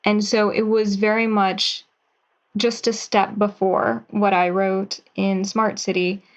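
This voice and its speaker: A woman's voice: medium pitch, flat delivery, slow pace, lo-fi sound. A woman in her twenties, a writer and actress, talking casually and cheerfully as a guest in an interview. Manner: chatty, upbeat, with light laughter.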